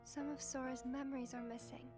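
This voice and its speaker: Woman voicing a high, even-toned gentle girl. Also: brisk, close to an everyday voice. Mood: gentle, solemn. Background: game music.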